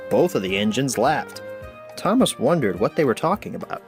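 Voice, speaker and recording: A man narrating a children's storybook aloud with deliberate phrasing. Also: mid-range voice, sing-song, soft background music.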